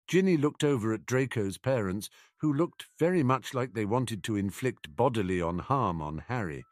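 A man's voice: medium pitch, expressive, normal speed, no echo, studio quality. A man reading scripted fiction aloud as an audiobook narrator.

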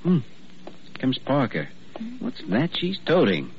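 An actor playing an earnest, easygoing young doctor in vintage radio drama, polite and gently wry. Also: male voice, mid-range voice, sing-song, measured pace, slight background noise. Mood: affectionate, teasing. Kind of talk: 1940s radio drama acting. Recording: muffled old radio recording.

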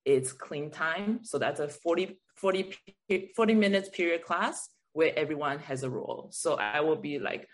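A woman's voice: low-pitched, animated, normal speed, hardly any pauses. A woman from Taiwan speaking as a guest in a conversational podcast interview, explaining her views in a reflective way. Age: adult, parent of a school child. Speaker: guest, teacher and artist.

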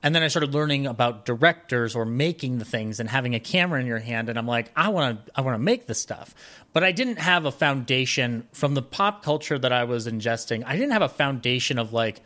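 Halting, rambling man in casual conversation. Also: mid-range voice, expressive, sharp tone. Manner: rambling, halting, circles back mid-sentence. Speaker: podcast guest. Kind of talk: casual conversational interview, reminiscing.

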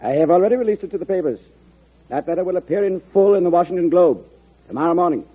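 Stubborn formal politician, old radio play, talks fast, tinny narrow sound. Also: male voice, sits high, expressive, husky. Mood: firm resolve. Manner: declarative, formal, unbending. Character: self-assured, stubborn politician, coolly defying his party. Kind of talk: 1940s radio drama acting.

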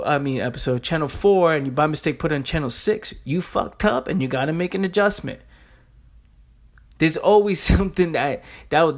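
A young man giving an informal motivational monologue in a blunt, streetwise, emphatic way, punctuated with rhetorical questions and casual swearing. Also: a little high. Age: young adult. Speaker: motivational speaker.

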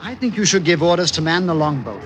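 An actor playing a timid, scheming young sailor lad, deferential and earnest, in an old-time radio drama. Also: male voice, fairly high pitch, lively intonation, quick pace, lightly acted. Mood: quietly insistent. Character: timid, scheming ship's boy, soft-spoken young sailor. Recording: old radio recording with faint noise.

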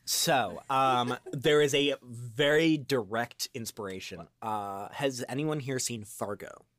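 A man's voice: mid-range voice, slow, fairly bright tone, no echo. A man speaking as a voice actor guest in a casual podcast interview, rambling and often restarting his thoughts.

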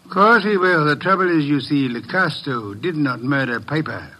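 An actor playing a shrewd, pedantic middle-aged private detective with a gravelly, precise and pompous delivery, in an old-time American radio drama. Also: male voice, fairly high pitch, lively intonation, moderate pace, pushed and bright, poor audio. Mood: dry, superior.

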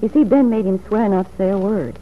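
A woman's voice: mid-range voice, fairly quick, lightly acted. An actress playing a troubled, wavering wife who plots with her lover in a radio drama, earnest and hesitant. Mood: confiding. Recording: tinny, noisy old radio recording.

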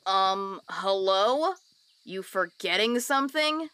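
A woman's voice: mid-range voice, animated, slow, bright, forceful tone, clear, resonant tone. A woman playing an overlooked, plaintive talking tree in an audio drama.

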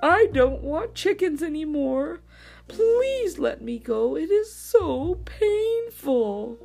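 A woman voicing a sly fox in a children's story, with drawn-out, exaggerated delivery. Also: sits high, big pitch swings, creaky, mellow, soft background music, voice pushed up, heavily acted. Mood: pained, pleading. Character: sly, smug, hungry fox who ends up whining in pain.

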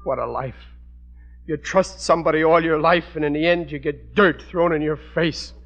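An actor playing an aggrieved, gullible American husband, plain-spoken and blustering, in 1940s radio comedy style. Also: male voice, on the high side, fairly even intonation, moderate pace, a bit bright, faint music behind. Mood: self-pitying. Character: aggrieved, gullible working-class husband and father. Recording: muffled, noisy old radio recording.

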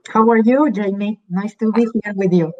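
A woman's voice: medium pitch, moderate pace, a little mellow. Argentine woman on a dull video call. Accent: Argentine Spanish. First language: Spanish. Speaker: guest author and teacher. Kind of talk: interview talk.

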